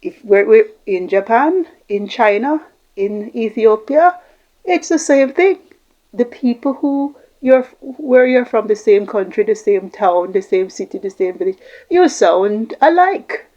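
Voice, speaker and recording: A woman's voice: a little high, animated, a little slow, mellow, lo-fi sound. A woman giving an informal explanatory talk, reflective and repeating phrases for emphasis. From Jamaica, Caribbean. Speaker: host giving communication tips.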